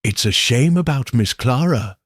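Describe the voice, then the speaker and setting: A man's voice: medium pitch, animated, measured pace, dry recording, clean studio sound. Man voicing a gossipy, conspiratorial village shopkeeper woman. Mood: insinuating. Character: gossipy village grocer's wife, conspiratorial and insinuating. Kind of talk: audiobook character reading.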